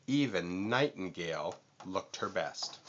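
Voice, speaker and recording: A man reading a children's story aloud in an expressive, deliberate manner. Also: low voice, dull audio, noisy background. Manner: deliberate, animated. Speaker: storybook reader.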